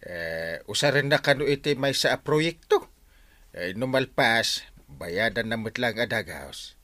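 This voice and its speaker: A man with an Ilocano accent playing a bumbling, evasive, excitable village captain in a radio comedy drama, stammering and exclaiming. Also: mid-range voice, measured pace. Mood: evasive, flustered. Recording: noticeable background noise.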